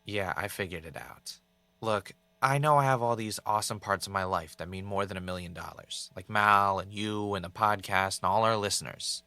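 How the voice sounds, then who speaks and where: A man playing a brooding, paranoid podcaster in an audio drama, speaking in a halting, introspective, confessional way. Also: fairly low pitch, bright, forceful tone, no room sound. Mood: earnest, wistful. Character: brooding, paranoid male podcaster searching for answers. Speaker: podcast host character and narrator. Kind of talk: audio drama monologue and dialogue.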